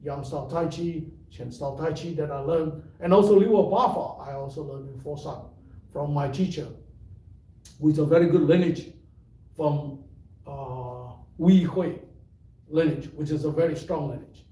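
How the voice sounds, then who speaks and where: Malaysian-british accented guy teaching tai chi, takes his time, lots of uhs, kinda high voice, echoey room. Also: warm tone, far from the mic. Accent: mixed Malaysian and British. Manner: unhurried, thoughtful, rambling with many fillers. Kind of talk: livestream teaching talk.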